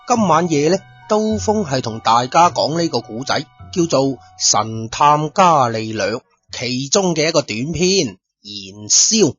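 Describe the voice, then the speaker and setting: A man's voice: on the high side, sing-song, measured pace, hardly any pauses, fairly bright tone, husky, highs cut off, soft background music. A man with a Cantonese accent narrating a story in colloquial radio-drama style, speaking directly to his listeners. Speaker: storyteller, host.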